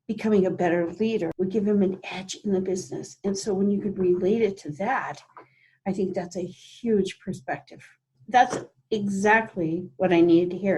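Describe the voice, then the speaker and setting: A woman's voice: medium pitch, darkish tone, low-quality recording. A woman talking conversationally as a coaching client, in roleplay and reflection.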